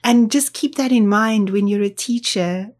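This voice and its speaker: A woman's voice: medium pitch, normal speed, darkish tone. Woman. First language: Afrikaans. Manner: explanatory, thinks aloud with restarts. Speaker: guest, associate professor. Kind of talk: interview.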